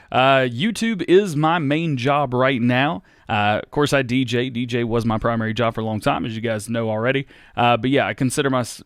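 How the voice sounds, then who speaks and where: An American man in his thirties, hosting a casual Q&A on his gaming channel, reading out viewers' questions and answering in a relaxed, rambling way. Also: medium pitch, clear, resonant tone, dry recording, studio quality. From United States. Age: 30s. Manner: relaxed and rambling, with many fillers and restarts. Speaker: YouTube gaming host.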